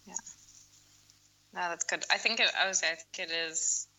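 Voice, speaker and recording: A woman's voice: lowish voice, moderate pace, pushed and bright, low-quality recording. A woman from South Africa working in the wool industry, asking questions in a rambling, casual way. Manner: rambling, restarts phrases. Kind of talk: casual interview chat.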